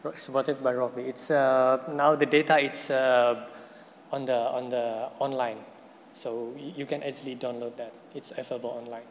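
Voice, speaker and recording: A man's voice: medium pitch, flat delivery, moderate pace. A man giving a technical conference presentation and answering questions, hesitant, with many fillers and restarts. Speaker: presenting researcher. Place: conference hall. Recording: hall reverb, faint noise.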